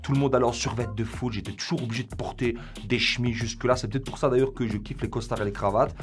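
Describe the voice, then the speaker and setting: A man's voice: mid-range voice, steady tone, fast talker. A 27-year-old man from Belgium and Croatia, chatting casually as an interviewee. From Belgium, also Croatia. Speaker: interviewee in a couple's question game. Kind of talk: casual chat. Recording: music bed behind the voice.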